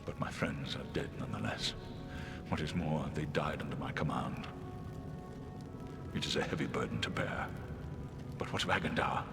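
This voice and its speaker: Injured noble commander: low, creaky voice, weary, burdened, formal. Fantasy game with loud score. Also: male voice, moderate pace, pauses often, over music. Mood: grieving, burdened. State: weakened by wounds. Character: wounded noble warrior leader, weary and grieving. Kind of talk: dramatic game cutscene acting.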